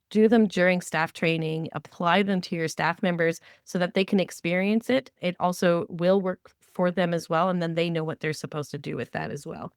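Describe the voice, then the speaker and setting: A woman's voice: lowish voice, clean tone, no echo, studio quality. A woman co-hosting a podcast, giving conversational advice in an enthusiastic, emphatic way and acting out the remarks she quotes. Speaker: podcast co-host.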